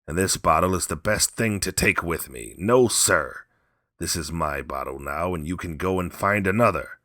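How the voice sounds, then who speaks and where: A man playing a gruff, defiant old drunkard. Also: sits low, animated, moderate pace, bright, forceful tone, no room sound, clean studio sound. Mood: defiant, loud.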